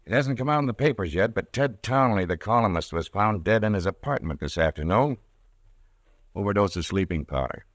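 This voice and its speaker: A man's voice: lowish voice, lively intonation, brisk, dry recording, studio quality. A man playing a polite, matter-of-fact homicide inspector in a 1940s radio drama. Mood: businesslike. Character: calm male homicide detective, polite and probing. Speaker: police inspector.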